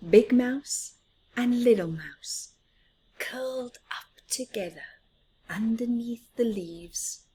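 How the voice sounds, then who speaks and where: A woman's voice: a little high, slow pace, dark tone. A woman reading a picture book aloud expressively for young children, putting questions to them and often dropping toward a whisper. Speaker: children's storyteller.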